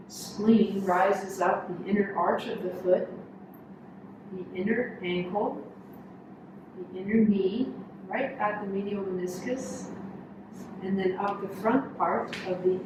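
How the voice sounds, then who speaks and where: Female meditation guide, calm and unhurried, short instructional phrases, slow delivery, noisy reverberant room. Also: mid-range voice. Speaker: yoga instructor. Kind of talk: guided body scan meditation. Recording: echoey room, distant mic, noisy background.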